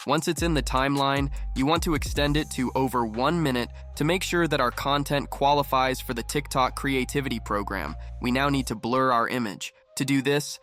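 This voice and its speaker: A man narrating a screen-recording tutorial, giving steady step-by-step instructions as the channel's host. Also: mid-range voice.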